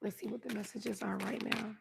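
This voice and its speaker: Hoarse woman giving a tarot reading. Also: mid-range voice, fairly even intonation, a little fast, a little hiss or hum. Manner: soothing, repeats "right?" and "okay?". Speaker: tarot reader.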